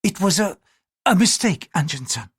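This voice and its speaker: A man's voice: high voice, big pitch swings, normal speed, husky, no room sound, clean studio sound, pitched above the performer's own voice, exaggerated voice. A man voicing a formal, polite Japanese noblewoman who speaks with strained courtesy.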